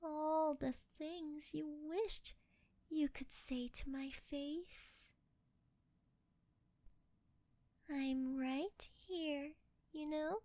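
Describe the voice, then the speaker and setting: A young woman playing a sweet, shy, clingy angel turned succubus in an ASMR roleplay, speaking softly and breathily with a coy, halting, intimate delivery close to the mic. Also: high-pitched, fairly even intonation, dry recording. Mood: coy teasing. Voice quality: soft, breathy, girlish. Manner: coy, halting, intimate, often dropping into whispers. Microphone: close ASMR microphone, dry sound.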